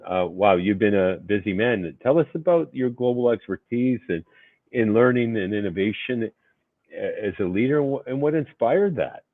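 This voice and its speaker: Male interview host, low voice, formal scripted delivery, dry but tinny phone-like sound. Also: no room sound. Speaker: interview host, organization CEO. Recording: muffled phone-like audio.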